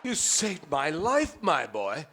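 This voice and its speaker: A declamatory, mournful stage gentleman. His fairly high voice drags out every phrase and swoops grandly with overwrought drama. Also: wildly swooping pitch, slow pace, heavily acted. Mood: shaken, grandiose. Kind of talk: radio play acting.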